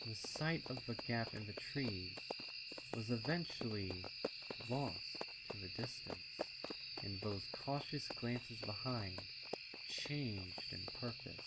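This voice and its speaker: A man narrating a dark story in a measured, deliberate, scripted style. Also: mid-range voice, expressive, very noisy. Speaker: fan fiction narrator. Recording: clear night-insect ambience behind. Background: crickets and night insects.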